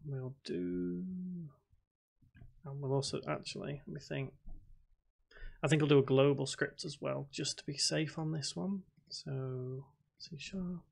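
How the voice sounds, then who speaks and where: A man's voice: medium pitch, vocal fry. A man hosting a casual live stream, thinking aloud as he codes and reading chat questions aloud. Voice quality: self-described boring voice. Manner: talks to himself while typing, reads chat aloud.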